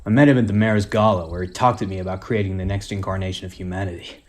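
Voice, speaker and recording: A man's voice: deep voice, steady tone, quick pace, natural voice. An actor playing a wounded, sarcastic superhero dad, a man, in a radio play. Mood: strained, wry.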